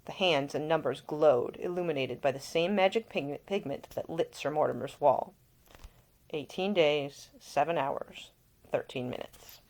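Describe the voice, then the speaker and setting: A woman reading her own fiction aloud as scripted audiobook narration, with an expressive storytelling delivery. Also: low voice, a little slow. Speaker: author reading own novel.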